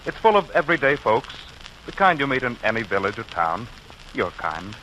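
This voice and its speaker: Bright, forceful 1940s radio announcer man. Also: medium pitch, sing-song, moderate pace, slight background noise, rough recording. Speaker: announcer and narrator. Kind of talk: 1940s radio scripted narration. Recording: old 1940s radio recording.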